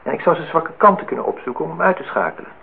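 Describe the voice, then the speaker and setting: A man's voice: fairly high pitch, expressive, rapid-fire, gravelly. An actor playing an earnest, wistful man in his thirties in a radio drama, speaking formally and reflectively. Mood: earnest, resigned. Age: 30s. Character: earnest, wistful 32-year-old man in love, self-reproaching. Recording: thin phone-like sound, noisy old radio recording.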